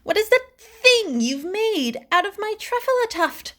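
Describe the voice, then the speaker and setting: Woman as small oldish mossy creature, storybook, bossy, protesting, sharp high voice, very animated pitch. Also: unhurried, exaggerated voice. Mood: indignant, upset. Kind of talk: rhyming storybook character voice.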